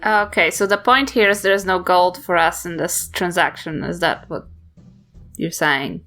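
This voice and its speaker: A woman's voice: on the low side, a little slow, a bit bright, soft background music. A woman with a New Zealand accent chatting casually in character as a tabletop game player. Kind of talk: casual in-character improv chat.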